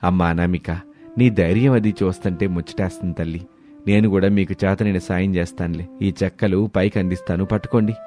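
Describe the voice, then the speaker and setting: A man's voice: sits low, steady tone, quick pace, mellow, lightly acted. A man voicing a kindly, concerned family man in a cartoon moral story, earnest and caring. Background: background music.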